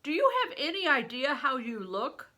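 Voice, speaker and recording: A woman voicing a huge, shrewd, blustering medieval man in a storybook, booming and theatrical as he questions in archaic speech. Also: very animated, moderate pace, bright, forceful tone, full character voice. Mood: scornful, loud.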